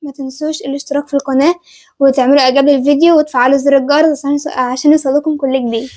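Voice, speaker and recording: Teenage Egyptian woman, vlog co-host, high voice, rapid delivery. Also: level tone, a little mellow. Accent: Egyptian Arabic. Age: late teens. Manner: chimes in with short remarks. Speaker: vlogger, channel co-host. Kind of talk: casual chat with viewers.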